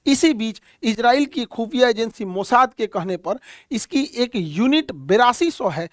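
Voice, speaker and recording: A man's voice: very high-pitched, animated, unhurried, no room sound, clean studio sound. A man teaching a current-affairs lesson in a plain explaining manner, pointing things out on a map and using many fillers. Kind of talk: explainer lecture.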